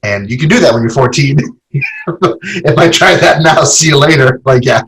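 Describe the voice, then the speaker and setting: A man's voice: fairly high pitch, huge pitch swings, normal speed, fairly bright tone, husky. A man in his forties, a podcast guest, talking casually about his past with lots of fillers and repeated words. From Argentina. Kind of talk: casual interview conversation.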